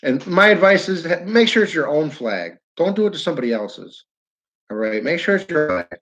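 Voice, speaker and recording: A man's voice: on the high side, sing-song, moderate pace, fairly bright tone. Upstate ny guy chatting on his livestream, rambles n restarts, talks to chat by name, kinda muffled sound. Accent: northern New York. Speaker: livestream host. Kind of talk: casual livestream chat with viewers. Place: his downtown building.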